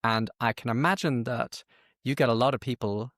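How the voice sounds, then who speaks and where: A man hosting a conversational podcast interview, speaking in slightly halting, repeated phrases. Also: medium pitch, fairly bright tone, no echo, studio quality.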